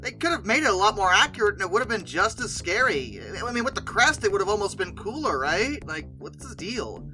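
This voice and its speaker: A very bright, forceful voice with lively pitch swings, in a mid-to-high register. The host talks casually and emphatically, rambling and correcting as they go. Music plays underneath. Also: brisk, few pauses, poor audio. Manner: hesitant, self-correcting, conversational rambling. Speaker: video host. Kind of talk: casual commentary on film dinosaurs.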